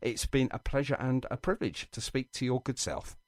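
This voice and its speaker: A man's voice: mid-range voice, lively intonation, brisk, no echo, studio quality. A man hosting a live radio interview in a chatty, effusive, rambling and anecdotal way. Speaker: radio show host.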